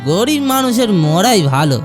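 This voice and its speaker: Hapless fisherman, swooping pitch. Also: sing-song, normal speed, over music. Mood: despairing. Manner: lamenting, hesitant, stammering. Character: poor despairing male fisherman, self-pitying, simple. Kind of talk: Bengali fairy-tale cartoon acting.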